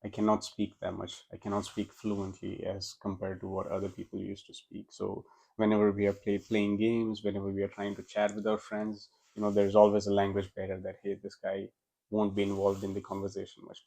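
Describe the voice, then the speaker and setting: A man's voice: fairly low pitch, completely flat delivery, fairly quick, creaky, warm tone, poor audio. A young man from India with an Indian accent, a Gujarati speaker, telling his story as a guest in a casual interview, often restarting his sentences. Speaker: podcast guest.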